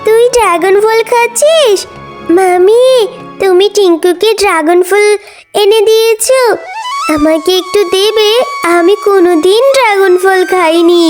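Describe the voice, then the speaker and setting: A woman voicing a meek, childlike orphan girl fox with a pleading manner in a children's cartoon story. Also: very high-pitched.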